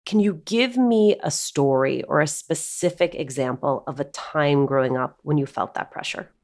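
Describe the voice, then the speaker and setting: A woman's voice: sits low, normal speed, a little mellow. A woman hosting a podcast, reading a scripted intro and then asking probing questions. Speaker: podcast host. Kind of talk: podcast interview.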